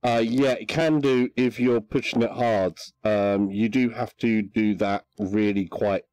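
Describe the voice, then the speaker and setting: A man chatting casually on a VR game livestream, relaxed and hesitant, with many ums. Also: on the low side, unhurried, clean tone, dry recording. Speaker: livestream gamer.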